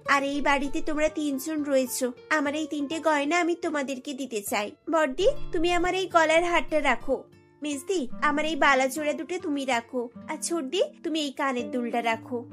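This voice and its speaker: Innocent new bride for a cartoon played by a woman: high, gentle, giving, soft music behind. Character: sweet, generous young bride, gentle and innocent. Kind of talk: cartoon character voice.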